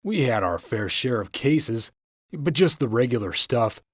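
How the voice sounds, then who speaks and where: Plain-spoken small-town sheriff, dry sound. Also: male voice, mid-range voice, expressive, a little slow, no room sound. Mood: sober, reflective. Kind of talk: video game cutscene acting.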